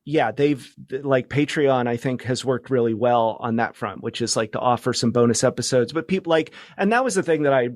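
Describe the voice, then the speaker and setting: A man's voice: mid-range voice, brisk, few pauses, dry recording. A middle-aged man chatting informally and discursively as a guest in a casual interview. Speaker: interview guest, podcast producer.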